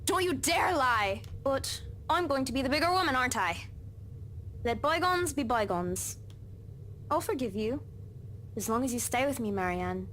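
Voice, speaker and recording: A woman's voice: high voice, expressive, normal speed, a bit bright, a little hiss or hum. An actress playing the resentful, clinging ghost of a dead teenage girl in a visual novel game, with an accusing, needling manner. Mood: insistent, wounded.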